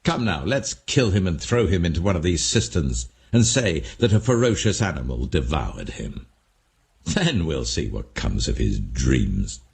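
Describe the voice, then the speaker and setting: A man's voice: very deep voice, big pitch swings, measured pace, vocal fry, heavily acted. A man voicing jealous, scheming grown brothers from a shepherd family in a dramatic Bible reading. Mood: plotting.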